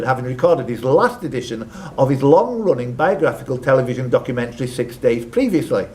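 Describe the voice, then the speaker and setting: A man's voice: medium pitch, a little mellow. A man hosting a live quiz, reading out questions and tossing in chatty, off-the-cuff asides. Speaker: quizmaster. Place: live quiz event at a social club.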